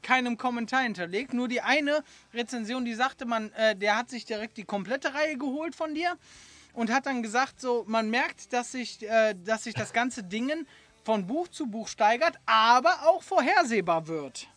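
A man's voice: very high-pitched, animated, normal speed, fairly bright tone, no echo. A man hosting a casual podcast, chatting in a playful, rambling way. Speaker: podcast host.

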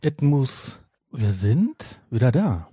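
A man. His voice is mellow and somewhat rough, recorded dry with no room sound. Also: medium pitch, big pitch swings, takes it slowly, no room sound. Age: 50s. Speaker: podcast co-host. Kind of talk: casual chat about audio dramas.

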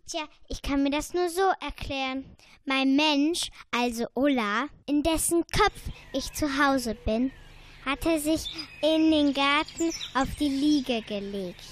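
A woman's voice: high voice, slow, slight background noise. A woman playing a chatty, eager lost earworm in a children's radio play, explaining things at hesitant length.

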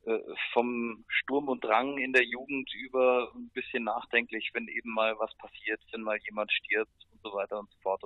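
A man's voice: medium pitch, no room sound. A man talking casually as a musician being interviewed, heard over a thin, band-limited connection. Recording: tinny, phone-like band-limited line.

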